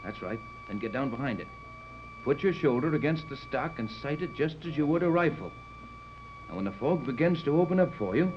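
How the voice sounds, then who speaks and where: Brisk sea captain with swooping pitch. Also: male voice. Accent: American. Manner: brisk, commanding, plain-spoken. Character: gruff, practical sea captain and inventor, man. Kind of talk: 1930s radio serial acting. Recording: muffled, noisy 1930s radio recording.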